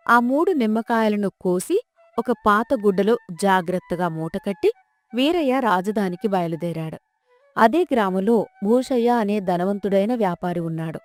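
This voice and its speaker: A woman narrating a scripted folk tale as a storyteller. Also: medium pitch. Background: soft music.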